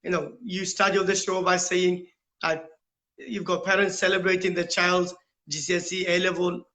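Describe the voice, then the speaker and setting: A man's voice: sits high, level tone, moderate pace, sharp tone, low-quality recording. A middle-aged British man giving earnest interview answers as a school principal, often posing questions and checking with "right?". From United Kingdom. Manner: rhetorical questions, tags points with "right?". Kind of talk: earnest, explanatory interview talk.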